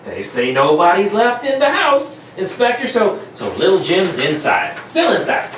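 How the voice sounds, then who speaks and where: A performer playing a slangy, excitable, wisecracking police sergeant in an amateur 1940s-style radio play. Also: medium pitch, moderate pace. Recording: echoey room, distant mic, faint noise.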